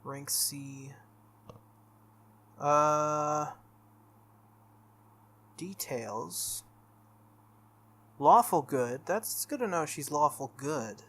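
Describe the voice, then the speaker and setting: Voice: mid-range voice, extremely slow, pauses often, a bit bright. A video game playthrough host reading a visual novel aloud and breaking off for casual commentary. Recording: music under the voice. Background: game music.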